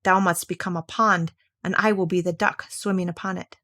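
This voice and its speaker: A woman's voice: lowish voice, steady tone, normal speed, a bit bright. Woman reading as an earnest, devoted little girl. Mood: earnest pleading. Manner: earnest, pleading, old-fashioned solemn phrasing.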